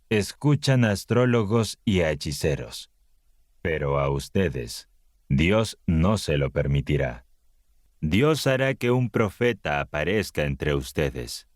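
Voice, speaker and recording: A man with an extremely low voice narrates scripture like an audiobook. He reads slowly and solemnly, pausing deliberately between phrases. The sound is close and dry. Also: darkish tone, no room sound.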